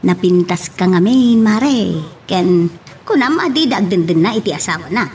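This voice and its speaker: A woman's voice: on the low side, animated, moderate pace, a little mellow, soft background music, natural voice. A woman playing a sympathetic, outspoken friend in a radio drama, with an Ilocano accent. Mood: concerned, emphatic.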